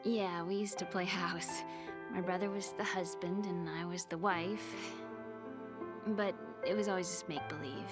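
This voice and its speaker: A soft-spoken young woman. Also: fairly low pitch, fairly even intonation, unhurried. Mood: wistful. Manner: soft and hesitant, slipping into whispers. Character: shy young woman genius, wounded younger sister. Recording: game cutscene with music behind.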